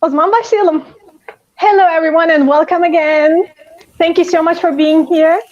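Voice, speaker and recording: A woman's voice: extremely high pitch, fairly even intonation, moderate pace, darkish tone. A woman whose first language is Turkish, hosting a casual online chat and laughing often. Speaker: host. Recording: dull lo-fi sound.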